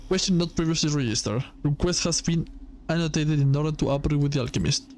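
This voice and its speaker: A man's voice: on the high side, quick pace, darkish tone, natural voice. A man voicing an artificial, automaton-like figure in a visual novel, reciting formal official notices. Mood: flat, official. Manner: formal, mechanical phrasing.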